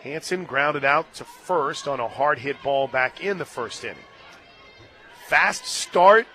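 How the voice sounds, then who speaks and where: Brief: male radio softball announcer, forceful and fairly high-pitched, easy talk between excited calls, stadium crowd in background. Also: slow. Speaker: play-by-play announcer. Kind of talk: live radio softball commentary. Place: radio booth at an outdoor ballpark. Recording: crowd and stadium music behind. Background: crowd, loudspeaker music.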